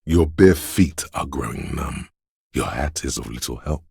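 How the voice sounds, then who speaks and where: A man voicing a brooding, omniscient inner voice of a city in a video game, narrating gravely and poetically in a measured, husky, gravelly voice. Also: a bass voice, a little mellow, no room sound, studio quality, heavily acted. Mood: brooding, solemn. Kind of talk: slow literary second-person game narration.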